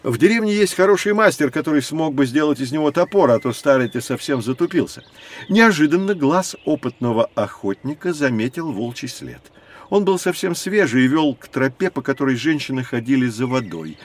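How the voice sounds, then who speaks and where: A man playing a knowledgeable, patient teacher who explains things to children in a measured, expressive storytelling way. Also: mid-range voice, natural voice. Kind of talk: scripted educational storytelling for children.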